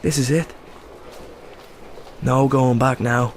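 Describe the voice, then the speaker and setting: An actor playing an eager, proud young Irish rebel volunteer, delivering a radio play monologue. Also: male voice, mid-range voice, fairly even intonation, slow pace, a little hiss or hum, natural voice. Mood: resolute, excited.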